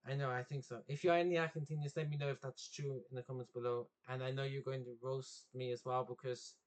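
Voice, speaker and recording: A man's voice: mid-range voice, little pitch movement, fast talker. A young man from England in a casual, rambling football vlog, often correcting himself and losing his train of thought. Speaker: football vlogger.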